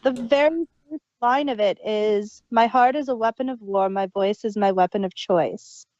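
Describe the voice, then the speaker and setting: A woman's voice: mid-range voice, normal speed, darkish tone, no room sound, dull audio. A woman co-hosting an audio drama review podcast, talking in a thoughtful, earnest way. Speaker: podcast co-host. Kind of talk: casual review chat.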